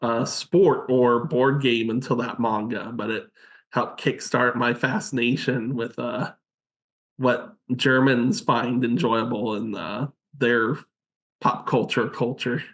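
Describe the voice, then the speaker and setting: A male podcast host talks slowly and without hurry. He reads out facts and drops deadpan asides. His pitch sits in the ordinary middle for a man. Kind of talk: casual group chat.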